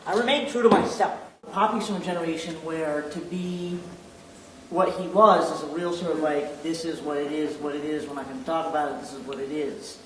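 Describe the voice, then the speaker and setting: A man's voice: on the high side, steady tone, fairly quick, fairly bright tone, reverberant, recorded from a distance, a little hiss or hum, rough recording. A man talking casually and reflectively in an interview as a playwright.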